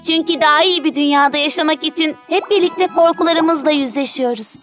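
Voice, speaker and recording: A woman voicing a gentle, wise young mermaid queen in a children's cartoon, speaking earnestly like a storyteller. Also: high voice, fairly quick. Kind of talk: animated children's cartoon dubbing. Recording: music under the voice.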